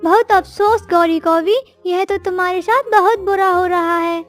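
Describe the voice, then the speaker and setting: A woman's voice: extremely high pitch, fairly even intonation, moderate pace, soft background music. A woman voicing a boastful, idle female songbird, a smug and chatty wife in a children's cartoon story. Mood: concerned. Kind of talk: children's animated story acting.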